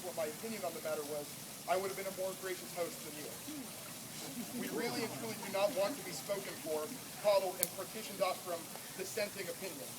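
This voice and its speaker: A young man in his twenties, a student, reading his own letter aloud at a protest in a formal, earnest way. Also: high voice. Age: 20s. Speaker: student speaker. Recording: loud background noise outdoors.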